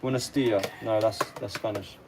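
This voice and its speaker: A man's voice: on the low side, fairly even intonation, moderate pace. A young man with an English accent from around London, chatting casually and easygoing on a livestream as he answers comments. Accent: English, London area. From near London, England. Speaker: livestream host. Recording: clearly noisy live stream with ambient sound.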